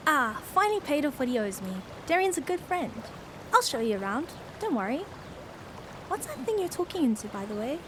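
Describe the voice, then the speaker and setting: A woman's voice: high-pitched, big pitch swings, brisk, a bit bright, a little hiss or hum. A woman playing a friendly, easygoing fisherwoman who acts as a local guide in a radio drama. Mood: breezy, welcoming. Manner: breezy, reassuring, friendly.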